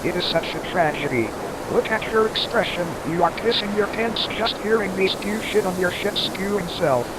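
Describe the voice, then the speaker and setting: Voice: medium pitch, close to an everyday voice. A performer voicing a foul-mouthed, hot-tempered storm reporter in an amateur audio drama, taunting and melodramatic. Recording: loud rushing wind and surf noise.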